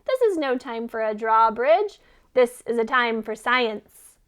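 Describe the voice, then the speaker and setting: A woman voicing a pompous, excitable male goat inventor in a children's storybook, declaiming orders and pronouncements. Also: a little high, unhurried, clean tone. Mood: emphatic, excited. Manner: declamatory, commanding exclamations. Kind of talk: children's storybook read-aloud.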